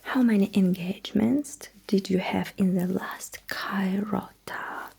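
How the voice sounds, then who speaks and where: Slow, whispering woman in sci-fi ASMR. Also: medium pitch, fairly even intonation, darkish tone. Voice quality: breathy whisper. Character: courteous, dryly witty alien mecha technician. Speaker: ASMR performer. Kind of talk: ASMR roleplay as sci-fi battlesuit technician.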